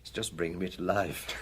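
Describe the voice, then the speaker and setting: An actor with a British accent playing a seasoned, gruff middle-aged police chief in a radio drama, measured, ruminative and commanding, with a husky voice. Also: male voice, deep voice, a little hiss or hum. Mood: relaxed, thoughtful.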